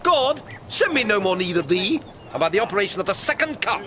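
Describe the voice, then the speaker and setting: A mocking young hothead, high swooping voice. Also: male voice, big pitch swings, brisk, a crowd in the background. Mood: teasing, mocking. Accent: British. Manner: theatrical, teasing, emphatic. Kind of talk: Shakespearean radio drama acting.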